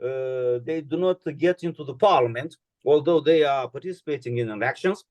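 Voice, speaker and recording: A man's voice: mid-range voice, moderate pace, a little mellow. A man from Moldova, a native Romanian speaker with a Romanian accent, answering student questions in a hesitant way, full of fillers and restarts. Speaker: guest speaker.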